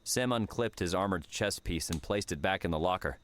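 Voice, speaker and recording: Deep-voiced male audiobook narrator. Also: normal speed. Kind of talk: scripted dramatic story narration.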